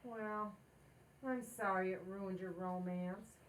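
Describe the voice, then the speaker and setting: A woman playing a scheming, excitable housewife with dry wit, wisecracking theatrically in an old-time radio sitcom. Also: medium pitch, slow, close to an everyday voice. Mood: wry.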